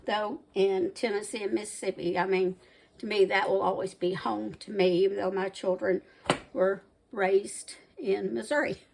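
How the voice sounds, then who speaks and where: A woman's voice: mid-range voice, vocal fry, rough recording. A woman in her sixties from the American South, with a Southern accent mixed with Midwestern, hosting a home cooking channel and rambling chattily between side stories. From Southern United States. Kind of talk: casual chatty cooking vlog talk.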